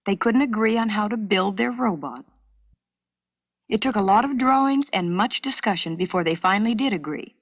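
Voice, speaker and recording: Expressive woman narrator, animated and slightly husky. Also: mid-range voice, normal speed, no echo. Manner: expressive storytelling. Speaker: narrator of a children's educational filmstrip. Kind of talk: scripted children's story narration. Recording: dry 1970s educational cassette recording.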